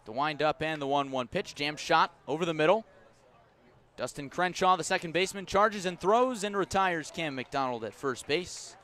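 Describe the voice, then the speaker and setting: A man doing live radio baseball play-by-play, describing each pitch in detail and filling in with player stories. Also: moderate pace. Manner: descriptive, storytelling between pitches, rising to excited calls on big plays.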